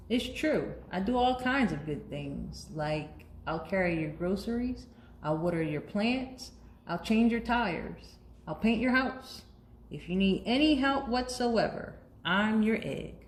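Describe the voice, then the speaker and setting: A woman voicing a helpful, dutiful, overstressed good egg in a children's storybook, telling it earnestly and emphatically. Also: medium pitch. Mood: eager, proud. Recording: roomy echo, faint background noise.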